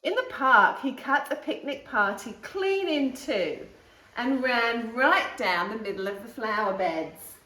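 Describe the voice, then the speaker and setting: Animated woman reading a children's story, roomy sound. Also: on the high side. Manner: expressive, slow, stretching key words. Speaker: storytime host reading a picture book to viewers and her dog. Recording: roomy echo, distant mic.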